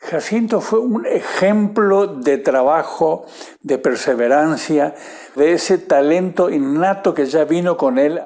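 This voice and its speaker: A man's voice: on the high side, warm tone. A man being interviewed, reminiscing in spontaneous talk.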